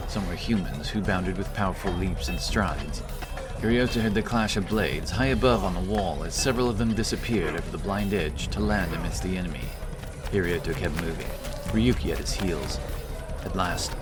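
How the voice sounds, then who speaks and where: Low male narrator reading an action fantasy tale, dramatic, music going underneath.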